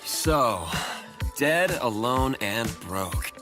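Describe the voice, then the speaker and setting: A woman's voice: very deep voice, animated, extremely slow, music in the background, full character voice. A woman playing a jaded, washed-up musician in a video game. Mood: jaded, weary.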